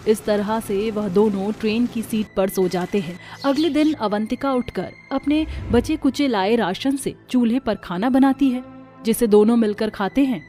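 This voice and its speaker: A woman's voice: a little high, moderate pace, warm tone, soft background music. A woman narrating a scripted story as the storyteller.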